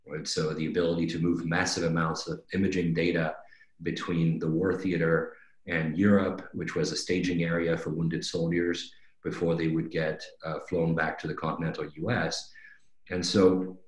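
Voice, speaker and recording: A man's voice: low voice, flat delivery, moderate pace. A middle-aged man presenting conversationally on a webinar, explaining things and often checking in with "right?". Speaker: company executive presenting a webinar. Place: indoors on a video call. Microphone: video call microphone. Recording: roomy, echoing video-call audio.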